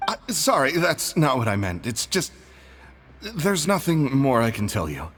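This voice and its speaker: A man's voice: mid-range voice, wildly swooping pitch, measured pace, fairly bright tone, soft background music. A man voicing an anxious, defensive receptionist in a video game, polite but hesitant and faltering. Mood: apologetic. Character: anxious, defensive male club receptionist reciting spiel.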